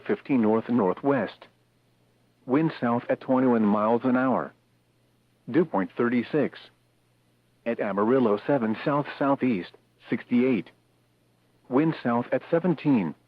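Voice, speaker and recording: A narrowband, tinny radio transmission carries a synthetic male voice reading a weather bulletin. He speaks slowly in clipped, impersonal phrases, with a husky, fuzzy edge to the medium-pitched tone. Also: dry recording. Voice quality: synthetic text-to-speech voice. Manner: measured, clipped, impersonal readout of short phrases. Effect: radio broadcast. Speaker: automated weather radio announcer. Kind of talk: scripted weather bulletin readout.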